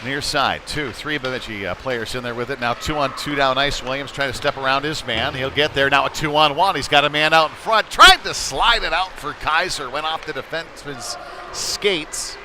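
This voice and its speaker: A man's voice: mid-range voice, normal speed. A man with a mid-range voice gives live hockey commentary on a local stream. He follows the action in short, clipped phrases. The recording carries plenty of ambient noise from the indoor rink around him. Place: indoor ice rink during a game. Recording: noisy live rink broadcast. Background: loud ambient arena noise.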